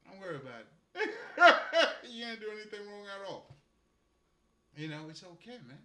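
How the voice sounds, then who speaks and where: Haitian-accented man, bright lively voice. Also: high-pitched, moderate pace, reverberant. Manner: profane, laughs often, loose and playful. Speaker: spiritual guide. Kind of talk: casual spiritual monologue.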